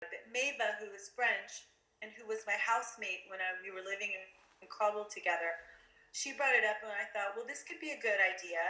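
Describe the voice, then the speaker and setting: A woman's voice: mid-range voice, fairly quick, bright, forceful tone, low-quality recording. A woman from Afghanistan who grew up in the States, a documentary filmmaker answering interview questions candidly, with fillers and restarts.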